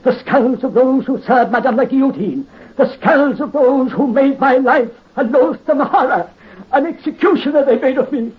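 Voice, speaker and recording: A man's voice: extremely high pitch, moderate pace. A radio actor playing a dying, vengeful old executioner who commands his son, in 1930s radio drama style, on a dull old recording. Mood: grim, bitter. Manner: grave, commanding, fading into death.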